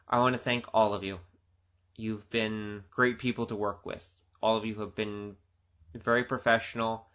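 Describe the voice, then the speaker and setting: Low-voiced man giving informal commentary, dull recording. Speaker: audio drama producer giving director's commentary.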